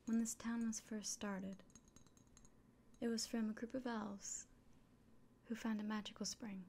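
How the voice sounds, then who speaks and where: A woman voicing a kindly, earnest elven innkeeper in a gentle, breathy, unhurried whisper for an ASMR fantasy roleplay. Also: a little high, flat delivery, slight background noise. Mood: gentle, reverent.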